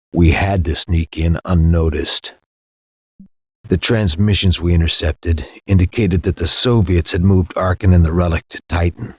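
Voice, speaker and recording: A man playing a war-weary space soldier, narrating a past campaign in a grave, measured, reflective way with a husky voice. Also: a little mellow, no echo. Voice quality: deep, husky. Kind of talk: dramatic scripted war-memoir narration. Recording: dull, lo-fi game audio.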